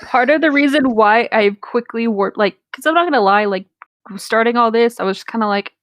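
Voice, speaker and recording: A woman's voice: on the high side, fairly quick, no echo. A woman chatting casually with friends in a candid, conversational way. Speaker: co-host reading horror stories in a group.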